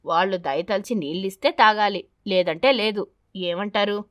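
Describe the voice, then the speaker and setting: A bossy, scheming eagle who orders other birds around , voiced by a woman storyteller. The delivery is domineering and commanding, in an animated fable with a dry, close sound. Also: mid-range voice, normal speed, dry recording, lightly acted. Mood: bossy, stern.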